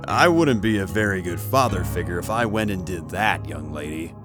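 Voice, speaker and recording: An easygoing warrior acted by a man in an audio drama, low in pitch. He sounds casual and fatherly, joking as he talks down to a youngster. Loud music runs behind him. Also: lively intonation, moderate pace. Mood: coaxing. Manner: casual, patronizing, hesitant joking.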